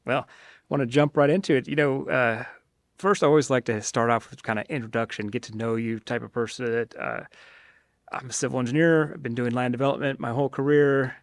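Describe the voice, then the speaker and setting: Man, mayor hosting a podcast, friendly, chatty, mid-pitched voice, dry studio sound. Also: fairly even intonation, a little fast, dry recording. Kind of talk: podcast interview.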